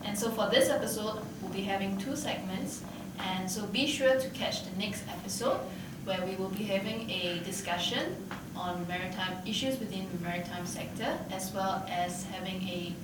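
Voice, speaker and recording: A woman's voice: medium pitch, very noisy. A young woman hosting a podcast, giving a prepared introduction. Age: young adult. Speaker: podcast host. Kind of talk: scripted introduction. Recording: very echoey, distant mic, music.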